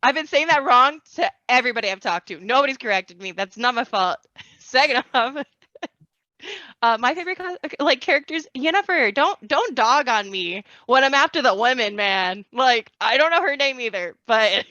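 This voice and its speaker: Female podcast guest, very bright voice, giggly casual chat, close dry sound that is slightly dull. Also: high-pitched, expressive, normal speed, dry recording. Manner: giggly, playful.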